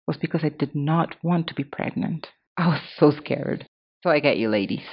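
A woman's voice: low voice, highs cut off. A woman in her thirties hosting a podcast, reading scripted commentary in a candid, conversational way. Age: 30s. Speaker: podcast host.

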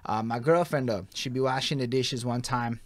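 A man's voice: medium pitch, moderate pace, no echo. A young man hosting a podcast interview, talking casually with a slangy, storytelling manner. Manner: laid-back, slangy, storytelling with lots of "like". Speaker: podcast host.

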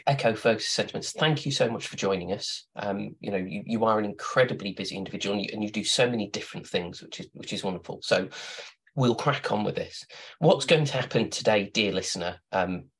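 Low, husky man rambling. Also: a little fast, rough recording. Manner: rambling, playful storyteller. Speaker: podcast host. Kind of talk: casual chat.

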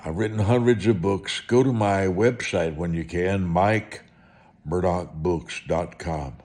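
A man preaching through personal storytelling, speaking in short, deliberate, emphatic phrases and repeating them for effect. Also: deep voice, a little mellow. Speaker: preacher and author telling testimony. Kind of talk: storytelling sermon monologue.

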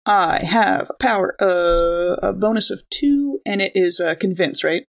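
A woman's voice: medium pitch, measured pace, gravelly. A woman playing a tabletop role-playing game, chatting informally and thinking aloud as she improvises. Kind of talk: casual improvised tabletop role-play chat.

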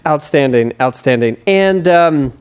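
A man's voice: fairly high pitch, big pitch swings, takes it slowly, smooth. Chatty male podcast host. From Pennsylvania. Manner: conversational, frequent fillers and restarts. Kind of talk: casual interview.

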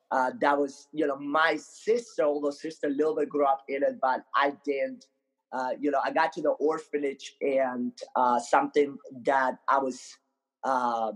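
A man from Ukraine with an Eastern European accent, telling his story casually as an interview guest, halting often and repeating words. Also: medium pitch, a bit bright, rough recording. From Ukraine, born in Moldova. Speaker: podcast guest.

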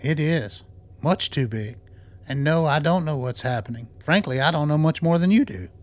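An actor playing a composed, principled doctor in a radio drama, speaking in a measured, clinical, matter-of-fact way. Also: male voice, on the high side, animated, natural voice. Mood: sober, reassuring. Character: composed, principled male doctor running a secret lab.

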